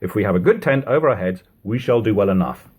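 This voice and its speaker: A man reads a defiant old-time soldier turned baker in a storybook novel. He argues his practical case emphatically, with a husky voice that leaps up and down in pitch. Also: animated, fast talker, darkish tone. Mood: practical, confident. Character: defiant, practical ex-soldier biscuit baker, plain-spoken Londoner.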